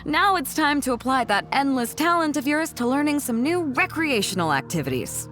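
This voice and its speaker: A woman's voice: high-pitched, big pitch swings, normal speed, a bit bright, soft background music. A woman playing a confident, teasing female ship captain in a video game, breezy and self-assured. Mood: confident, explanatory. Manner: breezy, playful, self-assured, chuckles while talking. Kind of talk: video game character dialogue.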